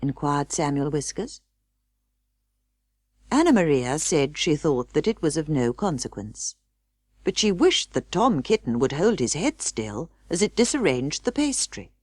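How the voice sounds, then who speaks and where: A British woman narrating a children's storybook in a measured, expressive way. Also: low-pitched, no echo, studio quality. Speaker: audiobook narrator.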